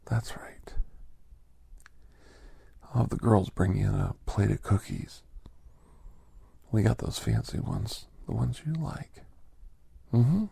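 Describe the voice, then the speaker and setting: A man playing a genial older doctor with a secret past, telling folksy ASMR stories in an unhurried, breathy, gravelly half-whisper. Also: lowish voice, expressive, mellow, no room sound, full character voice. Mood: welcoming, hushed. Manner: unhurried, folksy, halting, conversational. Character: genial old doctor, a retired secret government scientist, reminiscing. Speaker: storytelling podcast host in character. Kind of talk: ASMR storytelling, soft-spoken roleplay.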